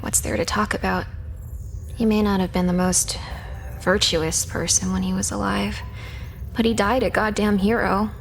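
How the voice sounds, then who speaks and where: A woman's voice: medium pitch, fairly even intonation, normal speed, creaky, faint music behind, lightly acted. Woman playing a cocky, dry young hacker woman. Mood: grieving, guarded. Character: cocky, sardonic young female hacker in space crew. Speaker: video game character actor. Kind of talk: scripted video game character dialogue.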